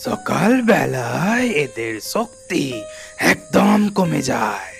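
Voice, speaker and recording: A man voicing a greedy, menacing sorcerer with a gravelly voice in a cartoon fairy tale. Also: fairly high pitch, sing-song, takes it slowly, music in the background, heavily acted. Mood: scheming.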